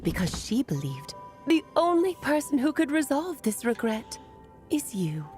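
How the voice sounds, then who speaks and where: Dramatic fancy mage lady, lively swoopy voice, soft music behind. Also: high voice, a little slow. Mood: grand. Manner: dramatic, grand, playfully self-assured. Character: elegant theatrical woman mage, grand storyteller. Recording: game audio with faint music.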